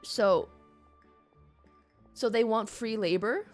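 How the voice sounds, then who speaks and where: A woman livestreamer chatting casually over a game, playful, expressive and rambling to the viewers. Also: a little high, slow pace. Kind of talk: casual game stream commentary. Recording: game music behind the voice.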